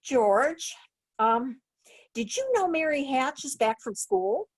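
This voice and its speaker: A woman playing a gently nagging, coaxing small-town mother in an old-time radio play. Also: high voice, very animated, takes it slowly, heavily acted. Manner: coaxing, direct.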